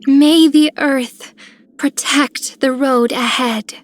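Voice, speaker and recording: A high, sweet young female voice for a gentle video game healer. She speaks softly and earnestly, with a tender temper. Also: steady tone, takes it slowly. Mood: earnest, warm. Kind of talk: video game character voice lines.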